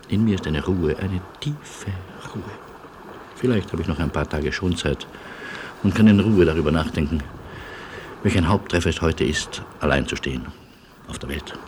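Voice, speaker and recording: An actor playing a world-weary, philosophical man in a German radio play, measured, resigned and gently ironic. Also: very deep voice. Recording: noisy radio play recording.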